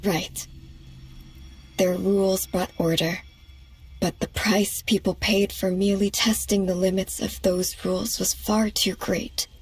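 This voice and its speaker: A woman playing a dignified ancient moon goddess in a game cutscene, speaking in a measured, formal way. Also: mid-range voice, flat delivery, breathy edge. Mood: grave. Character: solemn, composed ancient moon goddess, elder sister. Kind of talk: dramatic game cutscene acting. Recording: background music under the voice.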